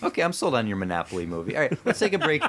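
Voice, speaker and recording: A man's voice: mid-range voice, very animated, rapid-fire, fairly bright tone. A man co-hosting a podcast, bantering and commenting in a casual, conversational style. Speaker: podcast co-host.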